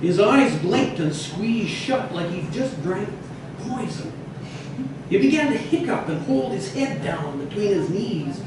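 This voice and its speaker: A man reading a humorous true story aloud as a storyteller, expressive, with some hesitant repeated words. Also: sits high, measured pace. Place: echoey indoor bistro. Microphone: distant microphone in the room. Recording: roomy, echoey, noisy, distant mic.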